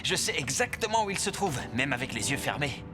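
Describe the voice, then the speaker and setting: A man's voice: medium pitch, big pitch swings, fairly quick, natural voice. A man voicing an amiable, boastful robot inventor in a French cartoon dub, explaining confidently. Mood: confident boasting. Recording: music behind.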